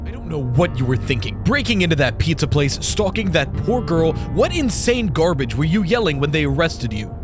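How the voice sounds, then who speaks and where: A man's voice: a little high, sing-song, normal speed, few pauses. A man playing an exasperated, scornful father who scolds his teenage son in a comic dub. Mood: angry, exasperated. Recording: prominent music under the voice.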